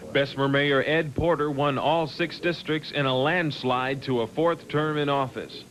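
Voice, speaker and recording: A man's voice: on the high side, fairly even intonation, moderate pace, fairly bright tone, poor audio. A man narrating a scripted local television news report as a reporter. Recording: 1980s TV broadcast with background din.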